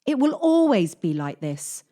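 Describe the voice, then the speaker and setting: A woman's voice: mid-range voice, sing-song, a little slow, mellow. A woman playing a cynical, hardened young gymnast from Kyiv in a radio play, blunt and world-weary. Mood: stern, resigned.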